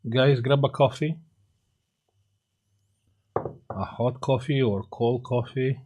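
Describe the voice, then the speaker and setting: Halting man with a Romanian accent. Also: mid-range voice, fairly even intonation, darkish tone, slightly rough. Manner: halting, frequent filler pauses, reads out viewer comments. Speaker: live stream host. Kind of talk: casual live stream chat with viewers.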